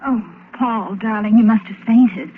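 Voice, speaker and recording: A woman's voice: on the high side, unhurried, lightly acted. An actress playing an anxious, devoted, pleading woman in a melodramatic old radio drama style. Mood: tender, worried. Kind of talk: 1940s radio drama acting. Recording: tinny, noisy vintage radio.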